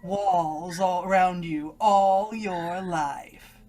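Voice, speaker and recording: Casting: sinister inner voice, female, unhurried, insistent and cruelly taunting, for radio horror play. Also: lowish voice, steady tone, dark tone, faint music behind. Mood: mocking, cruel. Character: evil woman's voice inside a young woman's head. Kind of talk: old-time radio horror acting.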